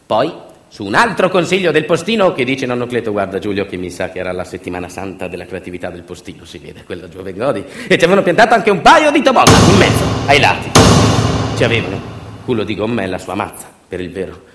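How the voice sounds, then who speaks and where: Male stage storyteller, lowish voice, emphatic colloquial monologue with repetitions, echoey room. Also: fairly bright tone. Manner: colloquial, emphatic, repeats phrases. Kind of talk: theatrical narrative monologue. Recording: roomy echo, faint music. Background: faint music.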